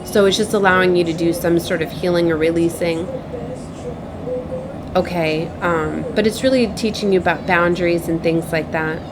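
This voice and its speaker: A woman with a fairly low voice delivers an off-the-cuff spiritual message. She circles back and repeats herself haltingly, with an earnest, cautioning manner. Clear ambient noise runs behind her voice. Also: fairly even intonation. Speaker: online tarot reader. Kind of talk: improvised spiritual message to viewers.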